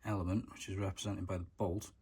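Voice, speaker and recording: A man's voice: low voice, little pitch movement, quick pace, darkish tone, husky. A man giving a casual, matter-of-fact hands-on car tutorial, explaining things step by step in informal words. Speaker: DIY car mechanic vlogger.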